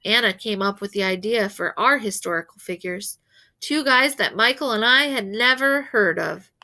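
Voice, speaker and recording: A woman reading a children's novel aloud as an expressive storyteller who acts out the dialogue. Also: mid-range voice, normal speed. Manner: animated, acts out characters' dialogue.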